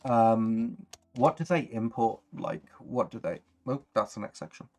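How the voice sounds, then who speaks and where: A man's voice: mid-range voice, animated, a little slow, darkish tone. A man chatting casually and encouragingly as he hosts a worldbuilding livestream. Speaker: stream host.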